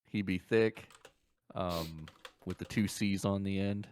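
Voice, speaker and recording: A man's voice: sits low, fairly even intonation, takes it slowly, a little mellow, a little hiss or hum. A man co-hosting a gaming podcast, chatting casually in a teasing, colloquial, joking way. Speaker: podcast co-host. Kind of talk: casual banter about video games.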